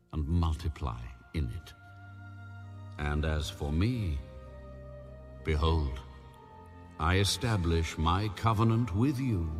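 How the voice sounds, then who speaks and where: A man narrating scripture in a solemn, measured, grave manner with deliberate pauses. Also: sits low, darkish tone. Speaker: audio Bible narrator.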